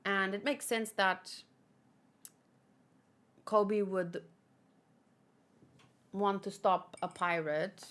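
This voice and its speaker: A woman's voice: mid-range voice, steady tone, extremely slow, long pauses, fairly bright tone. A woman host chatting casually and informally about manga, rambling a little as she thinks aloud.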